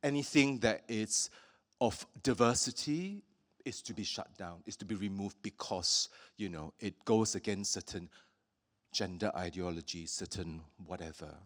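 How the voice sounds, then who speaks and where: A man from Singapore hosting a public talk with an artist, speaking thoughtfully with many pauses and fillers. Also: on the low side. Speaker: host.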